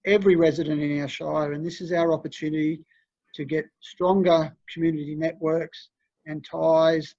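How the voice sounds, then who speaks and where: Flat-voiced man chairing a meeting. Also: a little high, moderate pace, dry recording. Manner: hesitant, many fillers, reflective. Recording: dry, dull lo-fi meeting audio.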